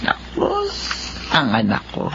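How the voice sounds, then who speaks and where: A woman's voice: slow, background noise, lightly acted. An actress playing a lonely, forgetful, tender mother of sixty in a Filipino radio drama, sighing and drawing out her words. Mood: fond, reassuring. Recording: dull lo-fi sound under loud music.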